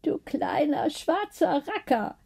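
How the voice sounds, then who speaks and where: A curious woman from a storybook as a female reader puts her on. Her voice sits high, full of wonder and questions, as if she is easily astonished. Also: very animated, slow, vocal fry, darkish tone, exaggerated voice. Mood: fond whisper.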